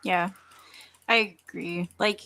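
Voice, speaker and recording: A young adult woman chatting casually as an interview guest, informal and halting, peppering her speech with filler "like". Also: lowish voice, fairly even intonation, bright, forceful tone. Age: millennial, young adult. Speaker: interview guest, voice actress and gamer. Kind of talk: casual chat.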